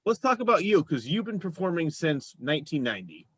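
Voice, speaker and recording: A man's voice: fairly high pitch, big pitch swings, brisk, fairly bright tone, husky, no echo. Chatty, enthusiastic male host, dry sound. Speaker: show host. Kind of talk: casual interview chat.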